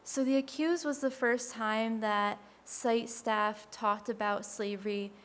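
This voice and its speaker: A woman with a quiet voice giving a friendly, conversational talk to a live audience as a speaker for a historic site. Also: on the high side, fairly even intonation, takes it slowly. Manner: soft-spoken, friendly and conversational.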